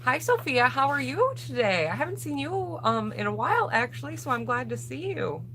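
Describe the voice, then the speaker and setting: A woman's voice: sits high, sing-song, normal speed, a bit bright, slight background noise. A woman chatting casually on a livestream as a beauty vlogger, thinking aloud and addressing viewers directly as she presents products.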